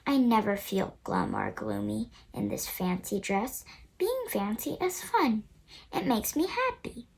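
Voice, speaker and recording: High-voiced young girl reading a storybook. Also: very animated, a little slow, vocal fry, breathy edge. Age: child, about nine. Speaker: young reader of a picture book. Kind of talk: storybook read-aloud narration.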